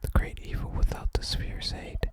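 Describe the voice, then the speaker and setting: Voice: normal speed, close to an everyday voice. A person whispering the part of a gloomy, reclusive shrine sage from a fantasy game, in solemn, formal, archaic phrasing. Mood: solemn, resigned.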